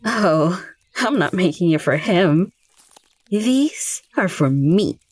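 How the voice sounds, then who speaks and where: A woman's voice: lowish voice, animated, slow pace, darkish tone, slightly rough, exaggerated voice. An actress playing a blunt, teasing young village woman in an audio drama.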